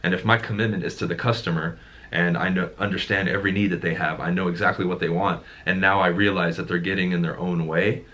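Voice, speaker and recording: Male sales coach, very low and deep, emphatic, casual talk. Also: fairly even intonation, fast talker, bright, forceful tone. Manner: emphatic, repeats words when making a point. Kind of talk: casual conversation.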